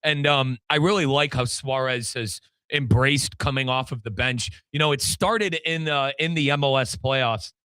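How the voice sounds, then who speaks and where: A man's voice: mid-range voice, moderate pace, few pauses, bright, forceful tone, clean tone, no room sound. A man hosting a sports radio show, talking in an emphatic way like a football commentator. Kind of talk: animated sports talk with sponsor reads.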